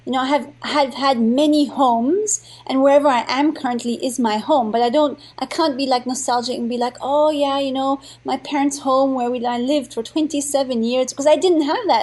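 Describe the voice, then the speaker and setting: A young woman guest, born in Thailand and raised in India and Nepal, speaks in a high voice. She chats from her home in a bubbly, rambling way, full of restarts and fillers. She sounds excited about her topic throughout. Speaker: podcast guest, entrepreneur. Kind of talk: casual interview.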